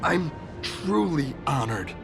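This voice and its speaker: Young earnest anime game hero: high voice with some rasp, starstruck, humble, stammers when flustered. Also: male voice, big pitch swings, takes it slowly, music in the background, exaggerated voice. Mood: earnest, proud. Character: excitable starstruck young male actor-turned-masked-hero, earnest and humble. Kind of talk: anime-style video game voice acting.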